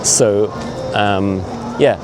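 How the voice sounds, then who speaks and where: A man's voice: fairly low pitch, fairly even intonation, extremely slow, over music. A man in his fifties vlogging as he walks outdoors on a city street, talking in an earnest, opinionated, conversational way with frequent asides. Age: 50s. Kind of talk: casual walking vlog monologue. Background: traffic and urban street noise.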